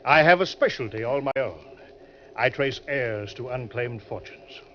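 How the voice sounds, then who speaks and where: An actor playing a suave, genial older gentleman schemer, with smooth, courtly and pompous politeness, in 1940s radio drama style. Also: medium pitch, expressive, normal speed, close to an everyday voice. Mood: genial, sly. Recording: muffled, noisy old-time radio recording.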